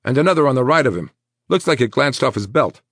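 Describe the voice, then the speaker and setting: A man voicing a brisk, nonchalant paramedic in an audiobook, loud, quick and matter-of-fact. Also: medium pitch, animated, dry recording, studio quality, voice pushed up. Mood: clinical, brisk.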